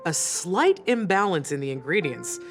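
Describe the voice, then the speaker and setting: A woman's voice: on the low side, very animated, moderate pace. A woman voicing a wry, suave young bartender in a video game, theatrical and teasing, lingering on her words. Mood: inviting. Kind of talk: scripted game dialogue. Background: faint background music.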